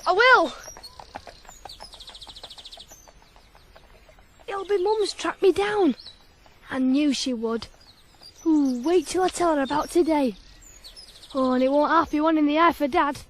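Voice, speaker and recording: Cocky high-voiced teenage ploughboy. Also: male voice, moderate pace, fairly bright tone. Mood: excited, proud. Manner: boastful, headstrong. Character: cocky, single-minded sixteen-year-old ploughboy. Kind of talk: radio drama acting. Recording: noisy 1980s radio drama recording.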